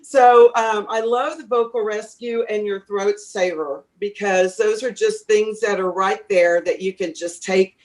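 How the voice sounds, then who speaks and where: A woman's voice: mid-range voice, fairly even intonation, measured pace, few pauses, darkish tone. A woman voice teacher being interviewed, talking chattily and advising from experience, with lots of fillers and restarts. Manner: speaks from a teacher's experience, giving advice. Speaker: voice teacher, guest. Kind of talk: conversational interview answers.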